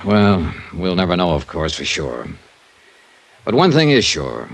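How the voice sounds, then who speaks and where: A man playing a sharp, skeptical insurance investigator in a 1950s radio detective drama, clipped, wry and pressing in both dialogue and narration. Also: sits low, huge pitch swings, a little slow, creaky, full character voice. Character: sharp, persistent male insurance investigator, hard-boiled and skeptical.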